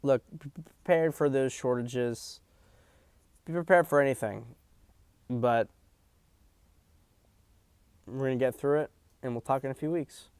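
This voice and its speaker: A man delivers political commentary in a blunt, profane, emphatic way, often circling back and restating his points. His voice is smooth and resonant at a middle pitch. The sound is dry and close, with almost no room around it. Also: unhurried, pauses often, a little mellow, dry recording. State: hiccups.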